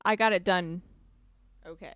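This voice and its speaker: A woman with a fairly low voice tells stories in casual chat. She speaks informally and acts out moments by repeating short phrases. The sound is dry, with very little room in it. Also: steady tone, measured pace, a bit bright, dry recording. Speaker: podcast guest. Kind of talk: casual chat, animated storytelling about gaming.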